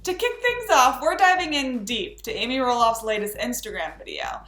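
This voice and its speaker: A woman's voice: fairly high pitch, wildly swooping pitch, moderate pace, fairly bright tone, roomy sound, faint music behind. A woman presenting a scripted entertainment gossip recap as host, with an emphatic, chatty delivery.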